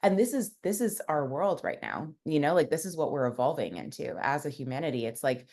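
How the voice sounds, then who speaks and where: A woman's voice: deep voice, lively intonation, fairly quick, a little mellow. A young woman, a founder, telling her story in a casual interview, rambling with many fillers. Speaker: founder and guest.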